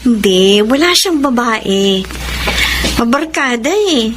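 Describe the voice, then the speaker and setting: A woman's voice: on the high side, expressive, slow pace. A woman with a Filipino accent playing a worried, pleading young wife and mother in a radio drama. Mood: troubled. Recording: noisy radio drama recording.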